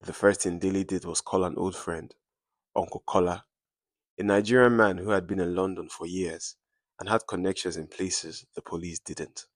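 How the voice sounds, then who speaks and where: A man's voice: low-pitched, steady tone, moderate pace, dry recording. A man narrating a scripted dramatic story in suspenseful, short clipped phrases.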